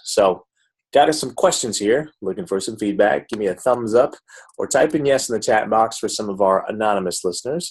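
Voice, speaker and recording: A man with a fairly low-pitched voice hosts an online recruitment webinar. He presents like a network marketer, salesy yet informal, chatting directly to the people on the call. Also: a little mellow, husky. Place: live video call.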